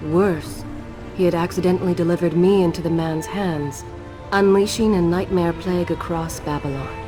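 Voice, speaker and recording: An actress playing a solemn, mystical empress who narrates a dark legend in a video game with a portentous delivery. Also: female voice, lowish voice, steady tone, moderate pace, over music, lightly acted. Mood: solemn, foreboding. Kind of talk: scripted story narration.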